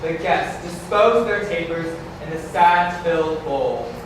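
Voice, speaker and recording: A man with a slightly high voice reads out stage directions plainly, as narrator of a staged reading. He sounds far from the mic in a very echoey hall, with noticeable noise. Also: lively intonation, a little slow. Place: large community hall.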